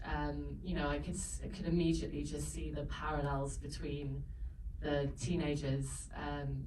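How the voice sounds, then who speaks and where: A woman, a film producer speaking on a screening panel in a loose, rambling way with many fillers. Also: deep voice. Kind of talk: Q&A answer at a screening. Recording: roomy hall, far from the mic, some noise.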